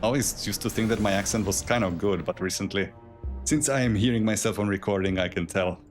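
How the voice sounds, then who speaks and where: Low-voiced Czech man streaming a game. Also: fast talker, over music. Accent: Czech, with a German tinge. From Czech Republic. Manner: thinks aloud, loose and rambling with restarts. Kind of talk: live gameplay commentary.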